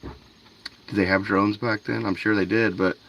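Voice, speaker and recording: A man's voice: fairly low pitch, flat delivery, measured pace, vocal fry, a bit bright, muffled recording, a little hiss or hum. A man hosting a fishing livestream at night. He chats loosely with his viewers, says "dude" and "man" a lot, and greets them by name. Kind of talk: casual livestream chat with viewers. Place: outdoors by a canal at night.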